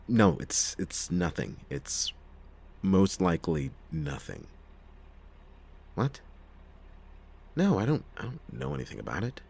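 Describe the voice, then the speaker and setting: A man playing a cynical, reluctant, hard-drinking private detective in a noir ASMR roleplay, with a husky, gravelly voice and sardonic, theatrical delivery. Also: low-pitched, a little mellow, exaggerated voice. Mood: guarded, intrigued. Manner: sardonic, theatrical, halting speech with drawn-out emphasis. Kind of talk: ASMR detective roleplay, acted monologue to listener.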